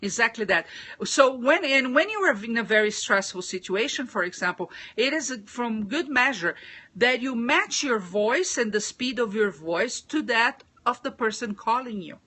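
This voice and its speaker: A woman's voice: fairly high pitch, expressive, normal speed, pushed and bright. A woman from Brazil with a Brazilian Portuguese accent, giving business communication tips in a casual live stream, thoughtful with frequent restarts. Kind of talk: casual livestream talk.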